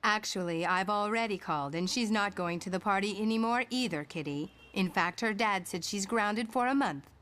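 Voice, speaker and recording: A woman's voice: mid-range voice, moderate pace, hardly any pauses, fairly bright tone, natural voice. A woman playing a no-nonsense mother of a teenager in a comic drama, dry and knowing. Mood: wry, stern. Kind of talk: motion comic voice acting.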